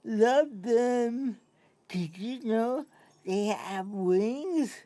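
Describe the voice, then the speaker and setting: A woman's voice: on the high side, lively intonation, dark tone, no echo. A woman playing a proud, smart starship communications officer who speaks with some effort in a sci-fi comedy. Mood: proud, assured. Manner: slow, effortful speech.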